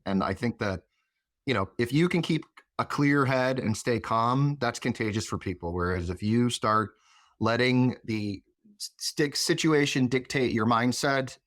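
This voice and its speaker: A man speaking as an interview guest in a reflective, explanatory way, phrasing his thoughts in a measured manner. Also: on the low side, fairly bright tone, no room sound, studio quality. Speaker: interview guest, chief of staff.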